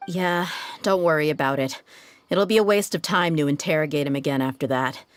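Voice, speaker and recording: A woman voicing a tough, confident, blunt female mercenary in a video game, with a brisk, cocky manner. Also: low voice, dry recording, close to an everyday voice. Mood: calm, concerned. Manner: brisk, cocky, casually menacing.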